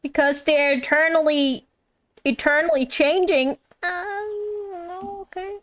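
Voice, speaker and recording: A woman's voice: high-pitched, steady tone, slow, fairly bright tone. A woman giving casual live commentary as a game streamer, informal and musing aloud.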